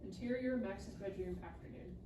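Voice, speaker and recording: A woman's voice: mid-range voice, moderate pace. A woman reading stage directions as scripted narration at a live table read. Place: live reading event in a room. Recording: echoey room, distant mic, clear noise.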